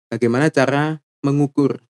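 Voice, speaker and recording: Man, casual podcast banter, playful storyteller, quick laughs. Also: medium pitch, fairly even intonation, a little mellow. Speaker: podcast co-host.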